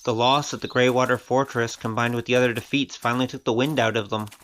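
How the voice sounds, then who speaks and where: A loyal wandering fighter with a taste for gambling, played by a man in an audio drama. His voice sits at a medium pitch, casual and wry but sincere underneath. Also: fairly even intonation, fast talker, fairly bright tone, close to an everyday voice. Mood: wry, reflective.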